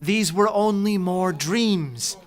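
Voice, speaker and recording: An actor playing a concerned, sceptical grown son in a radio play. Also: male voice, high voice, slow pace.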